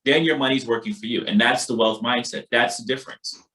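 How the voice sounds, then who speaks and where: Male workshop instructor from Barbados, mid-pitched, emphatic and colloquial, motivational teaching style. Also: fairly even intonation, fairly quick, sharp tone, rough recording. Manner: emphatic, colloquial, repeats catchphrases. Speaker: financial literacy workshop instructor.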